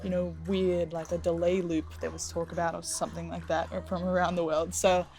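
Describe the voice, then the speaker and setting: A woman's voice: on the low side, level tone, fairly quick, darkish tone. Enthusiastic young-sounding woman, lots of "like". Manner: enthusiastic, filler-heavy. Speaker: singer. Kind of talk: casual interview. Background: faint music.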